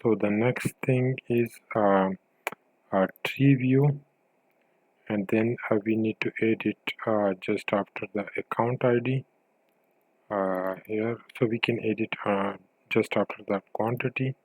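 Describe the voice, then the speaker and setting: A man presenting a screencast software tutorial as a developer, explaining step by step in halting, pieced-together phrases. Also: lowish voice, fairly even intonation, low-quality recording.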